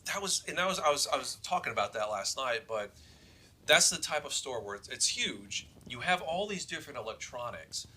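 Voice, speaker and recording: A man's voice: medium pitch, big pitch swings, brisk, bright, forceful tone, breathy edge. A man co-hosting a show in casual chat, rambling emphatically and circling back over his points. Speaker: show co-host.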